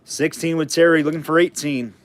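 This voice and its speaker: A man running a live online auction, calling bids and chatting loosely about the items, his throat getting hoarse. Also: a little high, steady tone, brisk. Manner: chatty, rambling asides between bid calls.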